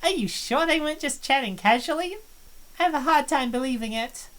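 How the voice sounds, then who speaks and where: A woman's voice: high voice, animated, brisk. A woman voicing a hesitant, somewhat apologetic college girl in a fan-voiced visual novel. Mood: doubtful.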